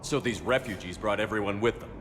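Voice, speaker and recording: Sci-fi game lead: male actor as stern, resolute commander, low-pitched and clipped, in an echoey hall over loud noise. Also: fast, close to an everyday voice. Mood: commanding, resolute. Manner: terse, authoritative. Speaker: game protagonist. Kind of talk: cinematic video game dialogue.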